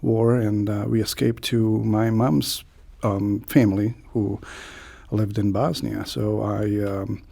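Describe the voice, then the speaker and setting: A man from Croatia, an accordionist, answering radio interview questions with many pauses and fillers. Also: fairly low pitch, level tone, vocal fry, warm tone. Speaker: guest accordionist.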